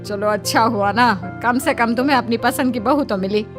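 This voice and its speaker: High-voiced, exasperated mother-in-law, acted by a woman. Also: normal speed, over music, natural voice. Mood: wry. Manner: dramatic, complaining. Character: exasperated, scheming middle-aged Indian mother-in-law. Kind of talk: Hindi cartoon story voice acting.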